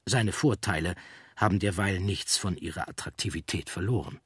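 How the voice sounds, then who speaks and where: A man reads scripted documentary narration in a measured, factual way. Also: sits low, husky, no room sound, clean studio sound.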